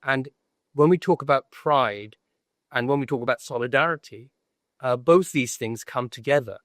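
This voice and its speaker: An older man with a British accent, making a reflective, measured argument as a panellist in a debate. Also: medium pitch, a bit bright, no echo, clean studio sound. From Britain.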